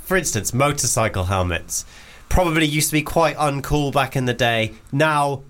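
A man's voice: mid-range voice, expressive, moderate pace, fairly bright tone. A British man talking casually in a group, with a laid-back, wry manner. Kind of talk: casual chat.

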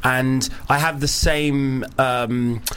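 A man with a medium-pitched voice co-hosts a relaxed chat. He is wry and jokey in his asides and earnest when he makes a point. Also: extremely slow, clear, resonant tone. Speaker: co-host and musical performer. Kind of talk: casual podcast chat.

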